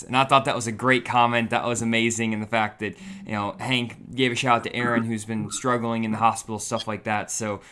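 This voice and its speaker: A young man hosting a fishing livestream, chatty and upbeat, talking straight to viewers, his voice a little raspy and tired. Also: mid-range voice, a bit bright, slight background noise. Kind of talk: casual livestream talk.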